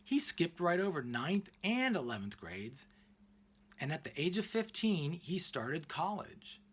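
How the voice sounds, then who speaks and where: A man reading a children's picture book aloud expressively, in deliberate phrases with emphatic pauses. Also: a little high, sing-song, a bit bright, breathy edge. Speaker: read-aloud narrator.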